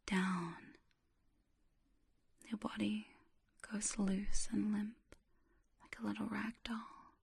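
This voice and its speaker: A woman whispers right up close to the microphone in a slow, airy hush. Her voice stays flat and even, soothing and coaxing, with phrases repeated softly in an ASMR hypnosis style. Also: medium pitch, vocal fry, husky.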